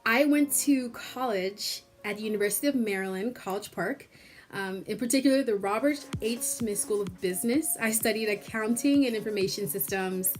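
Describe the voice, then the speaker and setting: A young woman from Cameroon with a mid-pitched voice speaks candidly, with loose fillers and a warm, personal tone. Light music sits faintly under her words. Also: moderate pace. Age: young adult. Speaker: fellowship board member. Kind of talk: personal testimonial. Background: soft background music.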